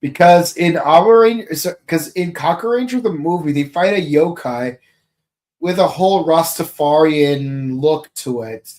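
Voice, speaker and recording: Slow-talking joking male podcast host. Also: a little high. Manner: jokey, rambling, thinks out loud. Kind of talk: casual chat about a TV series.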